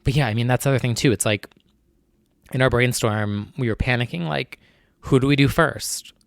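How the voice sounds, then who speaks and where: A young man with a gravelly, creaky voice, chatting alone into a close mic about his nerves. He rambles and restarts with plenty of fillers, and the recording is dry and tight. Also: mid-range voice, moderate pace, no echo. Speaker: podcast host. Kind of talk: casual solo chat.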